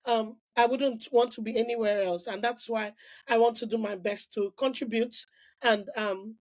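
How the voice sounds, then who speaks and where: A middle-aged woman from Nigeria, a podcast guest telling her story in an animated, casual way with frequent restarts and "like" fillers. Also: a little high. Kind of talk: casual interview conversation.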